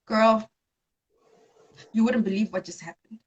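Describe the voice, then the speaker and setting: A woman's voice: on the high side, fairly even intonation, unhurried, breathy edge, muffled recording. A woman acting out a heartbroken young woman. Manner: dramatic, wounded. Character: heartbroken young woman venting to her best friend.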